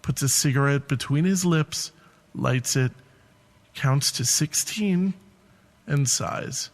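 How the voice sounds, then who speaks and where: Slow-paced man reading poetic prose. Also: mid-range voice. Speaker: reader of a literary piece.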